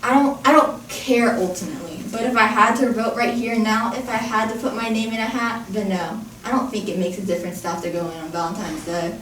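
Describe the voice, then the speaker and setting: An actress playing a bitter, sarcastic Tooth Fairy who argues combatively in a stage comedy. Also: female voice, medium pitch, brisk, hardly any pauses, fairly bright tone, natural voice. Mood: indignant. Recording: echoey room, distant mic, faint noise.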